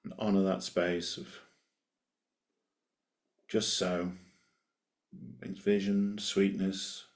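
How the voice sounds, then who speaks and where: Low-pitched man guiding a meditation. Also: level tone. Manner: gentle, unhurried invitations with long pauses. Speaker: meditation guide. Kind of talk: guided meditation.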